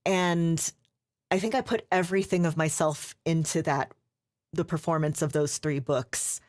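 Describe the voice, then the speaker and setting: Slow, low-voiced woman audiobook narrator. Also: fairly even intonation, darkish tone, no echo, studio quality. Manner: thoughtful, measured, with small hesitations. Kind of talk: casual panel chat.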